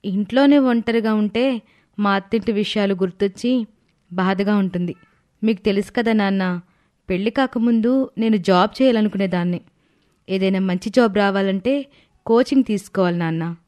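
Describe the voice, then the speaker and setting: A woman's voice: medium pitch, normal speed, long pauses, a little mellow, close to an everyday voice. Woman playing a wronged, resolute young wife. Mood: sad, earnest. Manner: earnest, protesting.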